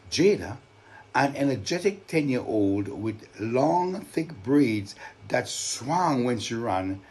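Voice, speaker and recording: A man with a Vincentian accent telling stories to children, expressive and deliberate, with dramatic emphasis. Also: mid-range voice. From Saint Vincent and the Grenadines. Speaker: children's storyteller and author. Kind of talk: live storytelling to children.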